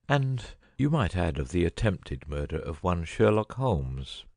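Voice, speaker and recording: An actor playing a cunning detective with a husky voice in a dramatic audiobook reading. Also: male voice, sits low, very animated, a little slow, a little mellow, no room sound, clean studio sound, exaggerated voice. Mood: composed, wry. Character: cunning male detective, first feigning a dying illness, later brisk.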